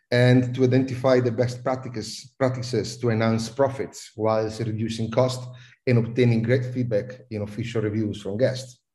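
Man, Italian accent, middle-aged, low pitch, mellow tone, hesitant, repeats words, podcast guest. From Italy. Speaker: guest, cruise industry advisor. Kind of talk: podcast interview.

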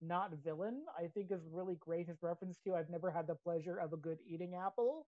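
A woman's voice: lowish voice, a little fast, no echo, dull audio. A woman with an American accent chatting casually about pop culture as a podcast co-host.

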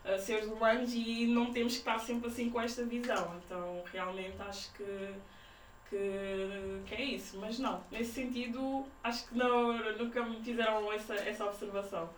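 A woman's voice: fairly high pitch, steady tone, normal speed. A young woman with a Lisbon-area Portuguese accent, talking informally as a podcast guest and thinking aloud in broken phrases. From Portugal, Sintra area. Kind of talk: casual conversational interview. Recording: roomy, distant mic, faint noise.

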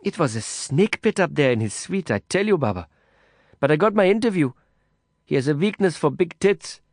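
Brash, foul-mouthed young woman reporter, teasing and quick to snap; a man doing her voice. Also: a little high, animated, darkish tone, dry recording, clean studio sound, pitched above the performer's own voice. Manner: sassy, profane, teasing.

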